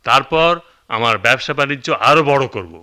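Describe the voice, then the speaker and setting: A man's voice: medium pitch, expressive, normal speed, sharp tone, smooth. An actor playing a greedy, callous middle-aged man in a radio drama, blustering, scornful and boastful. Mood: boastful, scheming. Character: greedy, callous, ambitious older brother and husband.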